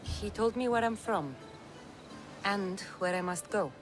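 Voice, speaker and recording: A woman's voice: medium pitch, animated, a little slow, music in the background, close to an everyday voice. A woman acting a tough, guarded young Greek warrior in a video game, blunt and defiant in manner.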